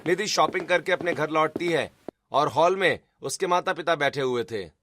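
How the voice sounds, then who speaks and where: A man's voice: a little high, moderate pace, fairly bright tone, faint music behind. A man giving scripted narration for a cartoon family story.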